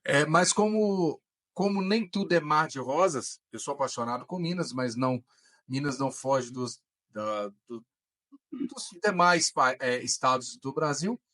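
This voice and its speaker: A man's voice: medium pitch, animated, a little slow, fairly bright tone. A man with a Brazilian Portuguese accent, interviewing casually and searching for his words as he builds long questions. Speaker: podcast interviewer.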